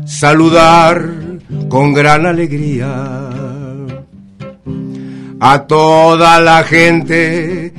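Man singing slowly over guitar. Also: fairly high pitch, steady tone. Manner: slow, heartfelt sung delivery. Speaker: singer-songwriter guest. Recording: guitar accompaniment behind voice.

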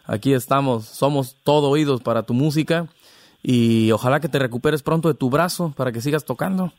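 Relaxed man hosting a podcast. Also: medium pitch, fairly quick, dry recording. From Veracruz, Mexico. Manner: friendly, congratulatory, relaxed. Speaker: podcast host. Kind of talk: podcast interview, casual.

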